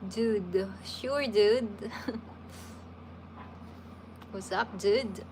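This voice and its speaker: Slow-talking woman, noisy background. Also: mid-range voice. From Philippines. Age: 30s. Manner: relaxed, chatty, with frequent restarts. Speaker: livestream host. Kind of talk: casual livestream Q&A, reading viewer questions aloud.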